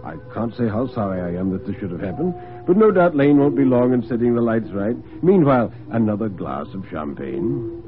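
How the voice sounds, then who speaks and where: A man voicing a genial, courteous gentleman host in a dramatic radio story, on a tinny, noisy old recording. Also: on the low side, sing-song, a little fast, soft background music. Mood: smoothly solicitous. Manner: courteous, chatty, solicitous. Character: genial, urbane gentleman host, sly and commanding.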